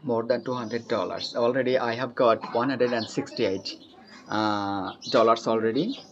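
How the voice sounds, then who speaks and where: A young man from Bangladesh with a Bangladeshi accent, chatting eagerly in English practice, often laughing and pausing with fillers. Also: medium pitch, steady tone, a little hiss or hum. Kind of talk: casual conversation practice. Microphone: video call. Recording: dull lo-fi call audio.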